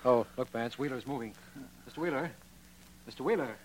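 A man's voice: mid-range voice, fairly quick, lightly acted. Earnest radio district attorney, played by a man, emphatic. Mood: urgent. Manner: emphatic, declarative, formal. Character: earnest, quick-to-conclude male district attorney. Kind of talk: 1940s radio acting. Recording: faintly noisy old radio recording.

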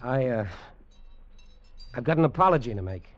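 An actor playing a stunned, troubled man seeking to settle a debt in 1940s radio drama, his voice husky. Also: medium pitch, animated, moderate pace, noisy background, lightly acted. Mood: shaken. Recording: muffled vintage radio recording.

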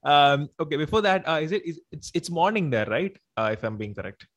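A man hosting a podcast interview, talking in a rushed, halting way with many restarts. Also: fairly high pitch, big pitch swings, a bit bright. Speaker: podcast host. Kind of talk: casual interview chat.

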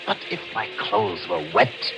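An actor playing a cold, calculating newspaperman turned murderer, deliberate and clipped in a 1940s radio thriller. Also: fairly low pitch, big pitch swings, over music. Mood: tense. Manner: deliberate, clipped, menacing narration and dialogue. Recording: muffled vintage radio broadcast.

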